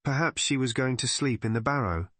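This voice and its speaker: A man's voice: mid-range voice, brisk, fairly bright tone, no room sound, natural voice. A man voicing a polite, earnest village vicar in an audiobook.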